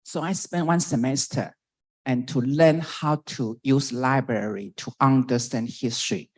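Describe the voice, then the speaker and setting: Taiwanese woman in her sixties, halting. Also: a bass voice, darkish tone, breathy edge. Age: 60s. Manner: halting, searching for words, many restarts. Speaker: former doctoral student speaking at a memorial. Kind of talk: personal remembrance speech.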